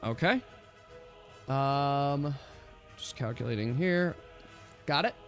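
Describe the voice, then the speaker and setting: A man's voice: mid-range voice, animated, slow, fairly bright tone, soft background music. A man acting as game master, casually narrating and giving rulings in a tabletop role-playing game.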